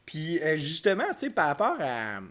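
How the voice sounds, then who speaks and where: A man's voice: on the high side, wildly swooping pitch, moderate pace, fairly bright tone, a little hiss or hum. A man hosting a casual interview podcast, asking questions with many hesitations and fillers. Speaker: podcast host.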